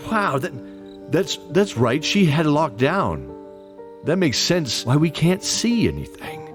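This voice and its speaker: A man's voice: on the high side, big pitch swings, unhurried, soft background music, pitched above the performer's own voice. A man playing a talkative elder in a fantasy audio drama. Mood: awed. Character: talkative elder man recounting his family's adventures.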